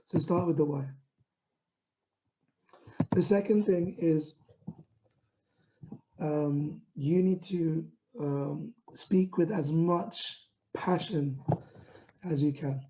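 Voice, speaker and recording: London guy talking straight to camera, slow, very dark mellow tone, lots of ums. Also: a little high, a little hiss or hum, low-quality recording. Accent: London English. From England. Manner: self-described monotone, many fillers and restarts. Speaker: founder giving a pitching talk. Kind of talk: online talk to camera.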